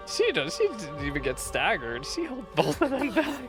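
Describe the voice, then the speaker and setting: A woman streaming a game with casual, exclamatory commentary. Her mid-range voice swings up and down a lot, playful and slangy. Loud game music runs underneath. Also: mid-range voice, huge pitch swings, normal speed. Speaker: gaming streamer reacting to a game. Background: game music and dialogue.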